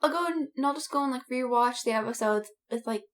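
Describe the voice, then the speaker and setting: Highish voiced woman just chatting on a podcast, giggly and gushing like a big fan. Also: fast. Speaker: podcast co-host. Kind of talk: casual chat about anime and fandom.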